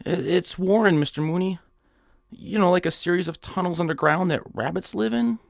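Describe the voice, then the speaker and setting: A man's voice: sits high, fairly quick, creaky, no room sound, clean studio sound. A man in an audio drama playing a timid, eager, put-upon clerk, hesitant and a little whiny. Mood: nervous, deferential.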